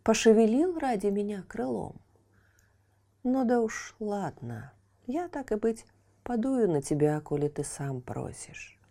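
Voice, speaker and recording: A woman voicing the wind as a taunting, vengeful male spirit who speaks in a breathy hush. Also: medium pitch, expressive, unhurried.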